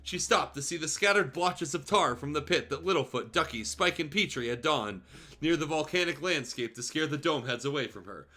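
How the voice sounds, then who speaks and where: A man's voice: on the high side, expressive, moderate pace, pushed and bright. A man reading fan fiction aloud as a streamer, in a theatrical, irreverent storytelling style with joking asides.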